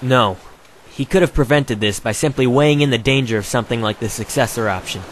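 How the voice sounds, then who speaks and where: A man's voice: medium pitch, fairly quick, slight background noise, close to an everyday voice. A man playing a cocky, heroic young hedgehog adventurer in a fan audio drama. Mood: stern, defiant.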